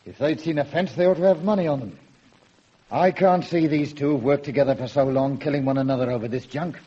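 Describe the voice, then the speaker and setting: An actor playing a stern, sceptical police inspector in a radio drama, brisk and authoritative. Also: male voice, on the high side, dark tone, breathy edge. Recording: dull old radio recording.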